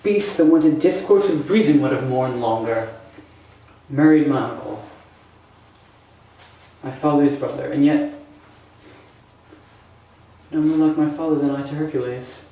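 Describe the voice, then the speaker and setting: A man plays a bitter, anguished young prince delivering a Shakespearean monologue. The pace is slow, with weighty dramatic pauses and a soft, dark tone. The voice rings through a very echoey room. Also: a little high, lightly acted. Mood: bitter grief. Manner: halting, emphatic, sighing delivery with dramatic pauses. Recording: echoey room, distant microphone.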